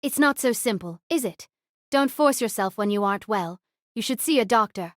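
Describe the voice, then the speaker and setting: A woman's voice: a little high, expressive, moderate pace, no room sound, lightly acted. A dutiful female knight, acted by a woman for an audio drama. She is formal, protective and earnest, recorded close and dry with no room sound. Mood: concerned, questioning. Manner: formal, deferential.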